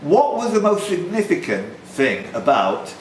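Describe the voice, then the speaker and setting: A man's voice: a little high, huge pitch swings, unhurried. A man hosting a live pub quiz, reading out questions and teasing contestants with jokey banter. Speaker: quiz host. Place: pub, indoors. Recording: echoey, noisy pub room.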